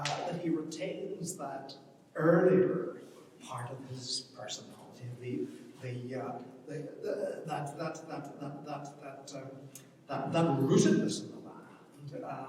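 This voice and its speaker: An Irish man gives an informal literary talk, hesitating and doubling back on his words as he thinks. He is heard from far off in a hugely reverberant hall, with clear noise in the recording. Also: medium pitch, a little mellow. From Ireland. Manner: halting and hesitant, often repeating words as he searches for phrasing. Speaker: academic speaker commenting on a play. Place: large echoing hall at a public event. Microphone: distant microphone. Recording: very echoey hall from far off, noisy.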